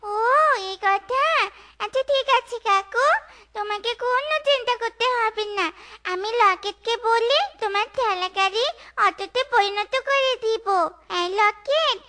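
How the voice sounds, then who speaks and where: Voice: very high voice, measured pace. A performer voicing a kind, cheerful young girl animal in a children's cartoon, sweet and singsong. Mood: cheerful, helpful. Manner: sweet, singsong, eager to help.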